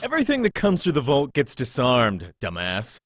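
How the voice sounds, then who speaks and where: Male actor as irritable security guard, scornful, impatient, very lively pitch, dry close sound, visual novel. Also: normal speed, no room sound. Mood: scornful, irritated.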